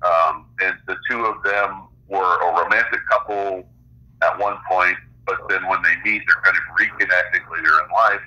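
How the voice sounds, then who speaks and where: A middle-aged man chatting casually as an interview guest about his creative work, in a halting way with searching pauses. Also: deep voice, steady tone, pushed and bright, low-quality recording. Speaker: interview guest, author and game designer.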